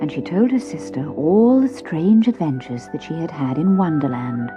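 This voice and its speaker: A woman's voice: low-pitched, expressive, moderate pace. A woman narrating a children's storybook expressively. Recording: dull cassette transfer with music. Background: music.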